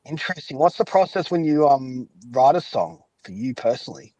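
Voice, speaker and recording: A man's voice: medium pitch, lively intonation, a little fast, a little mellow, dull audio. A man hosting a casual podcast interview, chatty and often echoing back what his guest has said. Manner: chatty, rephrases and echoes guest's answers.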